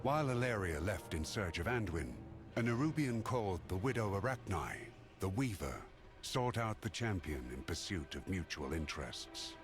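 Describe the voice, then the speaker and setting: A man's voice: low voice, lively intonation, normal speed. A man playing a storyteller who recounts a fantasy saga as scripted game narration. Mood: narrating. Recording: voice-over under game music.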